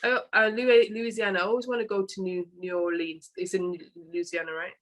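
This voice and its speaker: A woman's voice: medium pitch, flat delivery, brisk. A woman with a British English accent hosting a podcast interview, talking in a chatty, rambling way and often restarting her words. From England. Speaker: podcast host. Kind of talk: casual interview chat.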